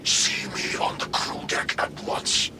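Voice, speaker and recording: An actor playing a stern, suspicious military chaplain in a video game, speaking gravely and with menace. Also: male voice, natural voice. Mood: stern, accusing. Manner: slow, grave, menacing delivery. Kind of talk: dramatic video game acting. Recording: distant roomy sound, loud music.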